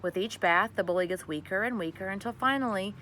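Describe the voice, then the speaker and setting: A woman voicing a gentle, wise, reassuring neighbour lady in a children's storybook. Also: medium pitch, fast talker, a little hiss or hum, lightly acted. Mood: matter-of-fact. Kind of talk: children's storybook read aloud.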